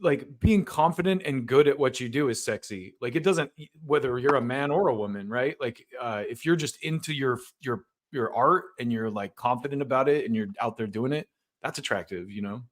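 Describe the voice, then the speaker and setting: An easygoing man hosts a casual live interview. His voice sits at a middle pitch, relaxed and conversational. He agrees readily and laughs along with his guest. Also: moderate pace. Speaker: podcast host.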